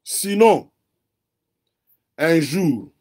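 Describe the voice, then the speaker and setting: A man with a Congolese accent and a notably high voice for a man. He speaks earnestly and builds his case step by step, repeating phrases for emphasis. His first language is Kikongo. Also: expressive, drawn-out words, a little mellow. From Democratic Republic of the Congo. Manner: earnest, argues point by point with repetition. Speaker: interview guest. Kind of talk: earnest conversational commentary.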